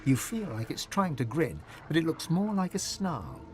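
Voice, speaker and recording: A man narrating a dark fantasy video game as an expressive, dramatic storyteller. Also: a little high, normal speed. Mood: hushed intrigue.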